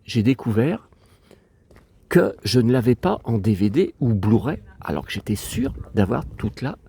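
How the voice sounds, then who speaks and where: A man's voice: mid-range voice, sing-song, unhurried, creaky, dark tone, husky. Man with a stuffy nose, rambling. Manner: rambling, aggrieved and digressive. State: stuffy nose from a cold, sniffling. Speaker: vlogger. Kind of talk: casual rambling vlog chat. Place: outdoors in a cemetery.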